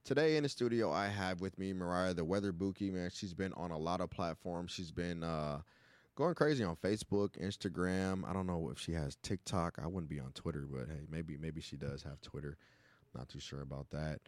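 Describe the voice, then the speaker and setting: A male radio host with a low voice in a dry studio. He talks in a casual, slangy way, interviewing and tossing in short affirmations. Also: brisk, dry recording.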